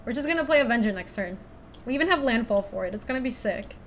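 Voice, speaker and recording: A young woman from Ecuador chatting casually through a card game stream, thinking out loud and laughing often. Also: a little high, expressive, fast talker, a little hiss or hum. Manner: chatty, giggly, thinks aloud to chat. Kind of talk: casual live-stream commentary.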